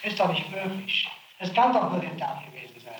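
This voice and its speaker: A man's voice: high voice, very animated, fairly quick, fairly bright tone, echoey room, slight background noise, low-quality recording. Exhausted, pleading man on an old tape. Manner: pleading, exasperated, repeats himself. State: exhausted, complains of headache. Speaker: suspect under interrogation. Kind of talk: archival interrogation recording.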